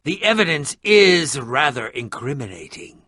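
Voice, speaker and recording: High-pitched, theatrical eccentric adventurer. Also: male voice, sing-song, unhurried, pushed and bright, dry recording, clean studio sound, full character voice. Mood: pompous, musing. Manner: theatrical, pompous, formal wording. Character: eccentric, theatrical middle-aged male adventurer, prickly and curious. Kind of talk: video game voice acting.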